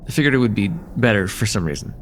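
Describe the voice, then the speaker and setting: A man's voice: on the low side, lively intonation, quick pace, a little hiss or hum, close to an everyday voice. A man playing a friendly, teasing college-age guy in a casual, hesitant slice-of-life ASMR roleplay with a close friend. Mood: warm, sincere.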